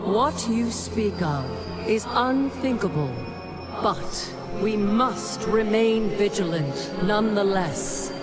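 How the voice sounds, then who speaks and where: Loud music surrounds a stately celestial ruler. She speaks slowly and imperiously, with stern composure, like the sovereign of a fantasy game realm. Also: mid-range voice, lively intonation. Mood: stately, commanding. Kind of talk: fantasy video game cinematic acting.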